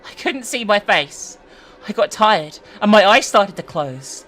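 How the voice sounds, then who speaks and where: An unhinged teenage boy killer acted out by a woman, gloating with creepy glee. The voice is raised and bright and swings widely, in a storybook horror style, with faint music behind. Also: medium pitch, expressive, a little slow, voice pushed up, poor audio.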